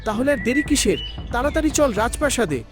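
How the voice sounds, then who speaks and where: A man's voice: very high-pitched, sing-song, quick pace, music in the background. A man voicing an earnest, humble young hero in a dramatic cartoon dub. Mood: eager, alarmed.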